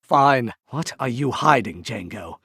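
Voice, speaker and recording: A man's voice: mid-range voice, lively intonation, unhurried, fairly bright tone, slightly rough, no room sound, full character voice. A male actor playing a composed, wry Jedi master in a video game, with a refined British accent and dry, formal phrasing. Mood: wry, exasperated. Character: composed, wry Jedi master, adult man mentoring an apprentice. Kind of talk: video game voice clips, short barks and dialogue lines.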